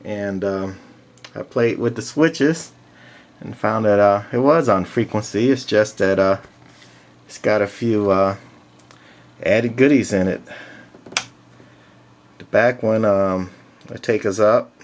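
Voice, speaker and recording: A man with a fairly low voice talks through a piece of gear casually and without a script. His delivery is matter-of-fact and halting, broken by pauses as he goes. He is recorded indoors on a handheld camcorder's own mic. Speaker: vintage radio collector presenting a walk-through. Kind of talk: casual unscripted product walk-through. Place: indoors, small room. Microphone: handheld camcorder's built-in mic.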